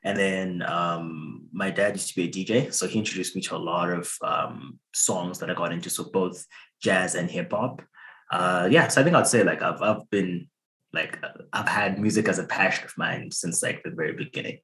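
Low-voiced man, easygoing guest. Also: fairly even intonation, normal speed, fairly bright tone, rough recording. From Zimbabwe. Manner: easygoing, hesitant with many fillers. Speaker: guest, hip hop artist. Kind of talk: casual interview answers.

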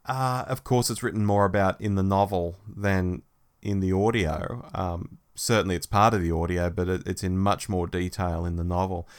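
A man co-hosting a podcast in casual discussion, speaking in a measured, agreeable and slightly tentative way. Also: deep voice, fairly bright tone. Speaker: podcast co-host.

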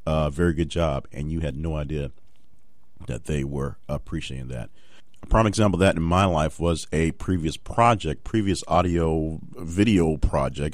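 A man's voice: rumbling low, no room sound. A man hosting a solo motivational podcast, talking off the cuff with fillers and restarts. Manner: unscripted, rambling with fillers and restarts. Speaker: podcast host.

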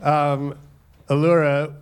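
A man's voice: a little high, little pitch movement, slow. A man hosting a live podcast at a hotel event, casual and joking, with lots of ums and uhs. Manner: casual, filler-heavy, joking. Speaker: podcast host.

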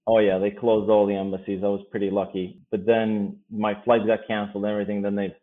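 A man in his forties from Kosovo, whose first language is Albanian, speaking as a guest in a casual podcast interview, easygoing and quick to laugh. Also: fairly low pitch, flat delivery. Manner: easygoing, laughs often, quick bursts of speech. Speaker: guest, company founder. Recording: phone-like remote line.

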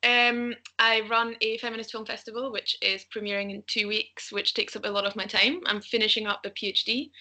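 A woman's voice: medium pitch, sharp tone. A young woman from Austria, a travel blogger, chatting casually as a podcast guest, laughing often and thinking aloud. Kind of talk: casual interview chat.

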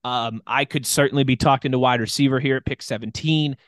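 A man's voice: medium pitch, fairly even intonation, a little fast, no room sound, studio quality. A man hosting a sports talk show, chatty and upbeat as he steers the segment. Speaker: sports radio host. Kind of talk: casual sports chat.

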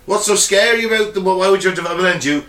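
A man's voice: high-pitched, fast, a bit bright, recorded from a distance. A man with a Shropshire accent, co-hosting a podcast with sweary, jokey banter. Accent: Shropshire English. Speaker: podcast co-host. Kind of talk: casual chat. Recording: echoey room, faint background hiss.

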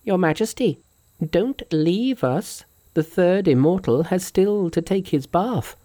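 A man's voice: sits high, animated, takes it slowly, dark tone, pitched above the performer's own voice. A man voicing a brash, indignant monkey immortal from a storybook, scolding and taunting. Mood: mocking.

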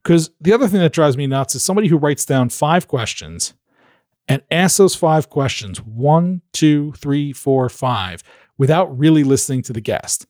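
Animated man coaching on interviews. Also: a little high, moderate pace, no room sound. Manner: animated, acts out quoted voices. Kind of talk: advice in a podcast interview.

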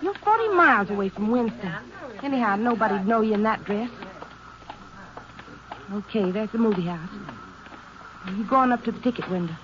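An actress playing a hard-bitten, bossy runaway older sister turned crook in a 1950s radio drama, talking in brash, slangy country speech. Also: female voice, mid-range voice, lively intonation, normal speed, long pauses, a crowd in the background, natural voice. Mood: impatient, bossy. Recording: dull old radio recording, faint noise.

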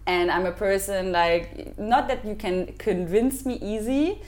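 A woman in her thirties, from Ukraine and raised in Germany, who speaks English as a second language. She is a podcast guest chatting casually and often pauses to search for words. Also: medium pitch, a little mellow. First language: not English. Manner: hesitant, searching for English words, with many fillers. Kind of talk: casual interview chat.

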